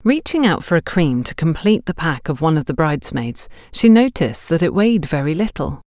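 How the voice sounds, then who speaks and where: A woman's voice: sits low, sing-song, moderate pace, darkish tone, no room sound, studio quality. A woman narrating a scripted mystery story as an audiobook.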